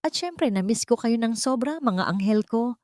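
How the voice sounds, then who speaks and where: A woman's voice: fairly high pitch, lively intonation, normal speed, darkish tone, no room sound, pitched above the performer's own voice, lightly acted. Woman voicing a syrupy, possessive elegant aunt. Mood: gushing, falsely sweet. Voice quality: honeyed, sweet. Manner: gushing, overly affectionate.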